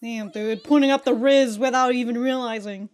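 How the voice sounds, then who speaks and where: A woman with a high-pitched voice reacts off the cuff as host of a reaction video. She talks in a casual, excitable way, swears freely and is quick to laugh. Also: clear, resonant tone. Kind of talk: casual live reaction commentary.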